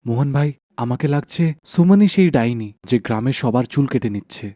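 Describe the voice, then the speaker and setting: A man playing a worried, suspicious village husband in an animated folk tale, earnest and dramatic. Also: medium pitch, moderate pace, no room sound, clean studio sound, natural voice.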